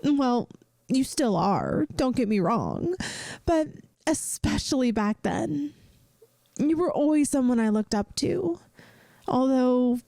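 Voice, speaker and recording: Caring young woman played by an actress, best friend secretly in love; slow, close and dry, soothing ASMR roleplay delivery. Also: high voice, gravelly, no room sound, exaggerated voice. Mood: fond, nostalgic. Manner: gentle, soothing, playful, earnest. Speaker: audio roleplay performer. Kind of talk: soft intimate ASMR roleplay acting.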